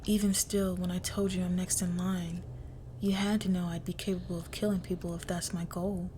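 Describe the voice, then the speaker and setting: A woman playing a crime boss's cold, menacing young daughter in an intimate audio roleplay, speaking in a hushed, deliberate near-whisper. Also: on the low side, flat delivery, a little hiss or hum, natural voice. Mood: mocking, teasing. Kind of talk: intimate scripted audio roleplay, dramatic monologue.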